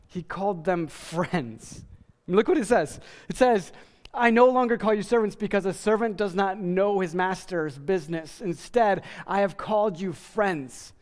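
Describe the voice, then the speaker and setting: A man in his forties preaching a sermon as a pastor, earnest and emphatic with deliberate pauses. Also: high voice. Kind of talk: sermon to a congregation. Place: church stage indoors.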